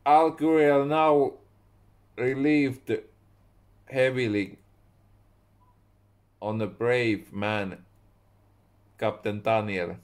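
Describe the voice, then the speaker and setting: Finnish-accented young man, a writer slowly reading his own tale, halting and self-correcting, mid pitch. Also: steady tone. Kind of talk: slow reading of a story aloud. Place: small room indoors at home.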